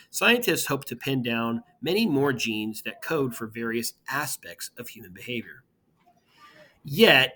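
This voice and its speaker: A man's voice: mid-range voice, bright, forceful tone. A man teaching his students, first reading an article aloud steadily and then speaking casually to them about the assignment.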